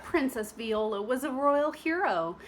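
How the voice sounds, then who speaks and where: A woman's voice: high-pitched, animated, a little slow, a little hiss or hum. A woman narrating a children's storybook expressively, with teacherly asides to young listeners. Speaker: storybook reader.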